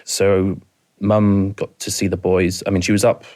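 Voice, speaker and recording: A man with a Welsh accent from rural mid Wales, in his thirties, telling a painful personal story as a podcast guest, halting and repeating words as he goes. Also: sits low, flat delivery, darkish tone.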